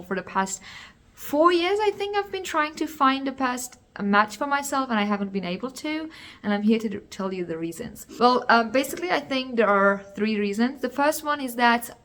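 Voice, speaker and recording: Young woman, Iranian accent, Farsi native speaker, slightly high voice, casual vlog advice, chatty with fillers and restarts. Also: sharp tone. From Iran. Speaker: vlogger. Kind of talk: casual advice talk to camera.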